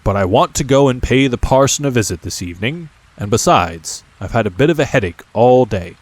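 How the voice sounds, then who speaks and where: A man's voice: medium pitch, expressive, fairly quick, no echo, close to an everyday voice. A man voicing a kindly, caring middle-aged miner father in a storybook reading. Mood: apologetic.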